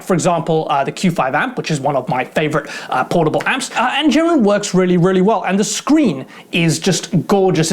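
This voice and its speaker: A gear reviewer giving a casual product review in a chatty, rambling way, with self-deprecating humour. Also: mid-range voice.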